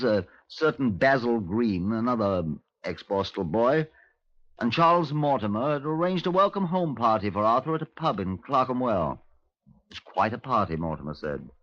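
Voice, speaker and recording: An actor playing a dry, authoritative middle-aged Scotland Yard inspector with a British accent in a 1950s radio drama. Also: male voice, medium pitch, fairly bright tone, natural voice. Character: measured, wry, authoritative male Scotland Yard police inspector. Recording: dull, lo-fi 1950s radio recording.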